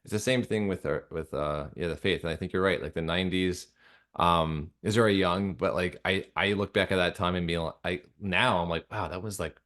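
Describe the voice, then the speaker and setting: Male interview guest, lower-pitched, sincere and halting, leaning on repetition for emphasis. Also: steady tone, a bit bright. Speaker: interview guest, radio drama creator. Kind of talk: earnest conversational interview about faith.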